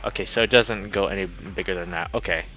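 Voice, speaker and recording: A man hosting a game livestream, chatting casually and excitably with his viewers. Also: low voice, quick pace, faint music behind. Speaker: game streamer and host. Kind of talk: casual livestream chat while playing a visual novel.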